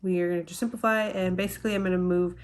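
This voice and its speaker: A young woman casually vlogging from her home craft room, talking in a relaxed, chatty way with lots of ums, her nose a bit stuffy. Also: on the low side, rapid-fire. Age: young adult. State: stuffy nose, slightly nasal. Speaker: small business owner vlogger. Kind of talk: casual vlog chat. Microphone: phone camera at arm's length.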